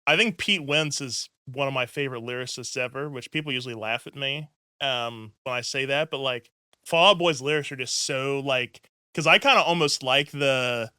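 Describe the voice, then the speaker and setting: A man hosts a relaxed music chat, rambling along with plenty of "like" and "um." His voice is bright and clear, and it sits close and dry on the mic. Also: mid-range voice, normal speed, no echo. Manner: chatty, rambling, heavy use of "like" and "um". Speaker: podcast host. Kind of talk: casual interview chat.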